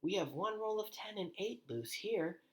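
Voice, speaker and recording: A man acts out an eager little storybook girl. The voice is pitched high, excited and proud, exclaiming in a children's tale. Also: moderate pace. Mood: excited, gleeful. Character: eager young girls, excited and proud counters.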